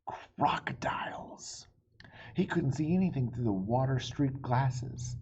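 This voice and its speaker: A man's voice: mid-range voice, animated, slow, in a whisper, dull audio. A man reading a children's storybook aloud with dramatic, suspenseful expression. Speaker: storybook reader.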